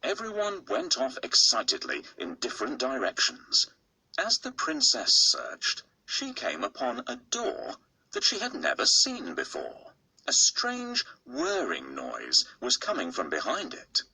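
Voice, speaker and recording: A man narrating a bedtime storybook in a slow, expressive, husky, gravelly storytelling voice. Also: medium pitch, no room sound, poor audio. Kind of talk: bedtime storybook read-aloud.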